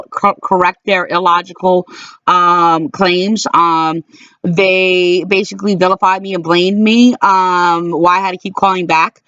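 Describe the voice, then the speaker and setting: A middle-aged woman telling of her mistreatment in a halting, aggrieved way, full of fillers and restarts. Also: on the low side, a bit bright, clear, resonant tone, dry recording. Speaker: complainant recounting calls to police. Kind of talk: personal recorded monologue. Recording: dull lo-fi dry recording.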